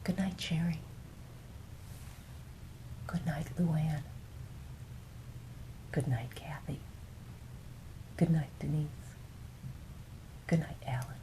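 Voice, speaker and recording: Female bedtime storyteller, slightly low voice with a soft, mellow tone, soothing and unhurried reading of fables. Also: slight background noise, rough recording.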